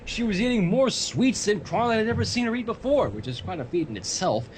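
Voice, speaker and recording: A man's voice: high voice, very animated, a little fast, slight background noise, poor audio. A man reading fan fiction aloud on a livestream in a storybook narrating style, with casual asides.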